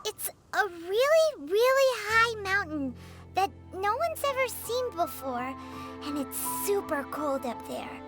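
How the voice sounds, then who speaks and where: A woman's voice: extremely high pitch, big pitch swings, slow pace, over music, full character voice. A woman voicing a small, childlike, eager fairy companion in a video game. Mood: earnest. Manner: refers to self by name, exclaiming.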